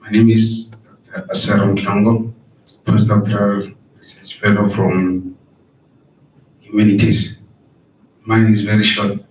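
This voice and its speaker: A man, a postdoctoral researcher, asking a question from the audience politely and briskly. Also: lowish voice, flat delivery. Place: large auditorium. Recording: echoey hall from far off, faint noise.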